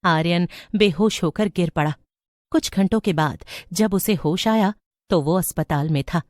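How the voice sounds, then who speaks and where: A woman's voice: lowish voice, a little slow, a little mellow, no room sound, studio quality. A woman reading scripted narration for a horror story.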